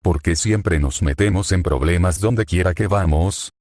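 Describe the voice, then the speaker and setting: Whiny young merchant, read by a man, low, dry. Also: unhurried, a little mellow, dry recording. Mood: complaining. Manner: whiny, complaining. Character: put-upon complaining young merchant.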